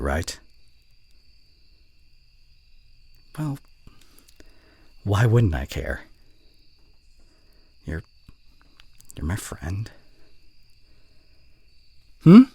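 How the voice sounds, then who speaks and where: Casting: male actor for snarky college student in ASMR roleplay, young, low husky voice, teasing, grumbling, secretly fond. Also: heavily acted. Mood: exasperated, scolding, then calm, teasing. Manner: teasing, grumbling, with sighs and breathy murmurs. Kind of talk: intimate ASMR roleplay acting.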